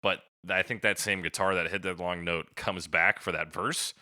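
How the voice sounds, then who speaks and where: A man's voice: low-pitched, steady tone, moderate pace, bright, forceful tone, dry recording, studio quality. A man co-hosting a podcast, talking casually about music with informal, joking banter. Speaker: podcast co-host. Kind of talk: casual music discussion chat.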